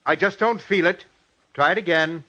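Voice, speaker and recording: An actor playing a cold, pompous, exacting director in an old radio drama, speaking with haughty, sarcastic precision. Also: male voice, fairly high pitch, normal speed, bright, forceful tone. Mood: exasperated, sarcastic. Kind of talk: 1940s radio drama acting. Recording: dull vintage radio recording.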